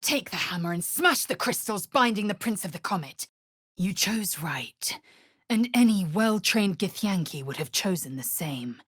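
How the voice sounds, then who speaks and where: A woman playing a fierce, proud alien warrior in a video game, speaking in a haughty, clipped, formal manner with a husky edge. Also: medium pitch, animated, a little slow, fairly bright tone, no echo, studio quality. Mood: commanding. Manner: imperious, clipped, formal phrasing. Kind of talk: video game character acting.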